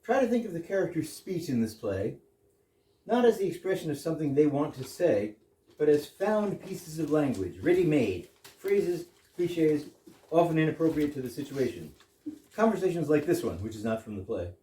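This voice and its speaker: A man delivers a witty prepared talk to an audience. His fairly high voice moves animatedly up and down, recorded from a distance in a roomy hall. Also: very animated, moderate pace, dark tone. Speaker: guest introducer of the play. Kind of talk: humorous prepared lecture to an audience. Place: indoor performance space with audience. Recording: echoey room, distant microphone.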